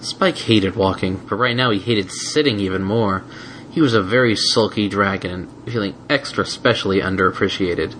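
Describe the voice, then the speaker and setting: A man narrates a written story in a fairly low voice. He reads as an audiobook narrator does, and the recording carries a little background noise. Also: normal speed, fairly bright tone, poor audio. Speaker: audiobook reader.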